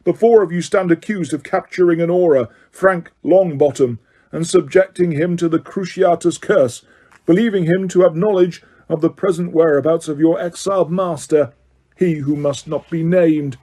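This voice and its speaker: A man's voice: a little high, moderate pace, warm tone, pitched above the performer's own voice, natural voice. A man voicing a stern, hateful judge who bellows his commands. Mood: stern, furious.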